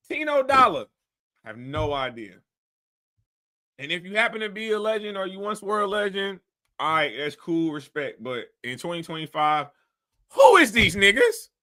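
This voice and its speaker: Male host, high bright voice, blunt rambling commentary. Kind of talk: casual commentary.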